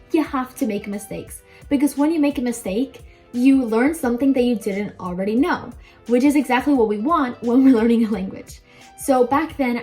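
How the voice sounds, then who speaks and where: High-voiced chatty young woman. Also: warm tone. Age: young adult. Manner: chatty, emphatic, informal. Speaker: language-learning video host. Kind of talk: casual advice to viewers.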